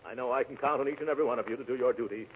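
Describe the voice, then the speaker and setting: An actor playing a pompous, portly middle-aged factory boss in a 1940s radio comedy, speechifying grandly and stammering when flustered. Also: male voice, medium pitch, very fast, lightly acted. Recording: noisy, tinny 1940s radio broadcast.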